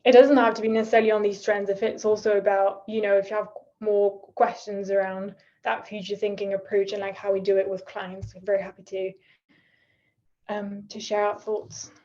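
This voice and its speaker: A woman's voice: medium pitch, little pitch movement, brisk, mellow, highs cut off. A woman facilitating a workshop on a video call, chatty and full of fillers. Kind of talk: casual chat on a video call.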